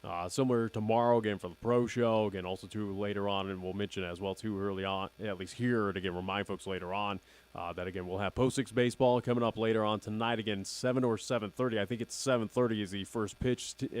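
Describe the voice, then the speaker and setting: Young radio host guy, kinda raspy and nasal, lowish voice, says again like every line. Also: fairly even intonation, quick pace. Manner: rambling, keeps repeating "again". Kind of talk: casual radio chat.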